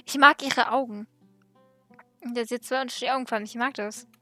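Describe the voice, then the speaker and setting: Bright-voiced woman gaming casually. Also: fairly high pitch, fairly even intonation, a little fast, no room sound. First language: German. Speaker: let's player. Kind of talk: casual gameplay commentary. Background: game music.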